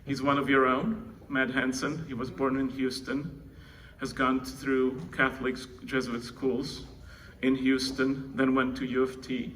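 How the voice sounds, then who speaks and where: A man with a Czech accent speaks informally to a conference from a podium-style introduction. His voice is bright and forceful, with fairly even pitch, picked up at a distance with faint noise. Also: a little slow, hardly any pauses. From Czech Republic. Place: indoor conference room. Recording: distant mic, faint noise, room ambience.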